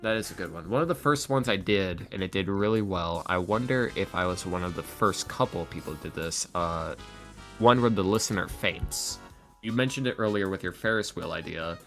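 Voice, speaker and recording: Male podcast creator, lowish register, casual and self-deprecating, light music underneath. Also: moderate pace, fairly bright tone. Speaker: ASMR roleplay video creator.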